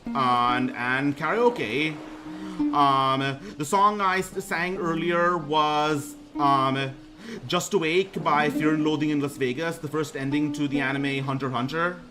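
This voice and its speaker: A young man with a baritone voice introducing himself on his debut stream, talking haltingly with lots of ums and restarts. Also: steady tone. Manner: hesitant, many ums and restarts. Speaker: VTuber streamer. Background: music playing.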